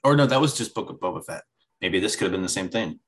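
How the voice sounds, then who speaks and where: Casual low-voiced man chatting. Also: lively intonation, fast. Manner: chatty, restarts often. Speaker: podcast co-host. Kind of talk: casual chat.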